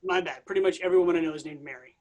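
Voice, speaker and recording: A woman's voice: lowish voice, racing, darkish tone, natural voice. A woman playing a vain, bored young male messiah who talks in slacker slang, in a staged reading. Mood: bored, offhand.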